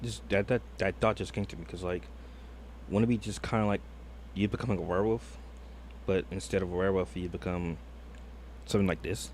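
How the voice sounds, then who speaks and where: A man's voice: sits low, steady tone, brisk, long pauses, darkish tone, slight background noise. A man streaming a reaction video, thinking aloud in a hesitant, rambling way full of fillers. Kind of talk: casual reaction commentary.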